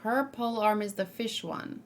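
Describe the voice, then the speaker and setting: A woman's voice: mid-range voice, normal speed, a little hiss or hum. A woman streaming a let's play, giving casual, chatty commentary and musing aloud as she goes. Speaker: gaming streamer.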